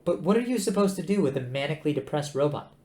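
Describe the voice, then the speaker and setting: A man voicing an exasperated male space traveler who asks sharp, put-upon questions. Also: on the high side, expressive, quick pace, voice pushed up. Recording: roomy echo.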